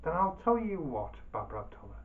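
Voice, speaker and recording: A man voicing a humble, venerable old Dervish, mild, measured and pious, in a storybook tale. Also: on the high side, very animated, narrow, tinny audio, pitched above the performer's own voice. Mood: agreeable.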